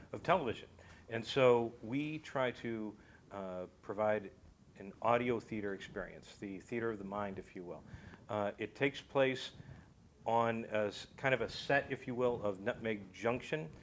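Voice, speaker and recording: Male guest, lowish voice, explanatory and measured, slightly formal, faint noise behind. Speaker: guest, audio drama creator. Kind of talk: explanatory interview talk.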